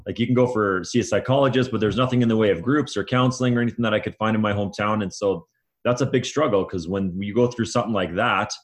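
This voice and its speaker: Interview guest, male, middle-aged. Fairly deep voice, everyday wording, halting and conversational delivery. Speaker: guest, men's coach. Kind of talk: casual interview answers.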